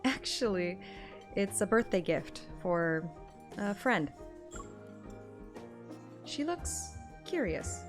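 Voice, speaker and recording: A woman's voice: medium pitch, animated, extremely slow, pauses often, faint music behind. A woman livestreamer with a playful, chatty manner, talking casually and reading a game story aloud. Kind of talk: casual stream chat and expressive game read-aloud.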